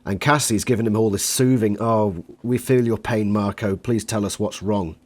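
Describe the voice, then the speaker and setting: A man's voice: fairly low pitch, steady tone, normal speed, darkish tone. A man talking casually as an interviewer and reviewer in a fan discussion.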